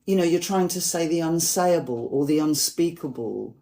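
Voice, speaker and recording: A woman poet speaking in an earnest, reflective way about language, slowing down to stress her ideas. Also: low voice, lively intonation, mellow. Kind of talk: reflective conversation about poetry.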